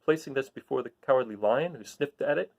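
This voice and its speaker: A man reading a storybook aloud as an expressive narrator. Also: mid-range voice, brisk, creaky. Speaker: storybook reader.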